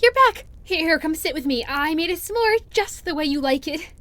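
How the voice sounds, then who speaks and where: Woman acting an eager, high-voiced young friend. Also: animated, normal speed, bright, forceful tone, no room sound, heavily acted. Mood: excited, welcoming. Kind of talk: audio roleplay acting.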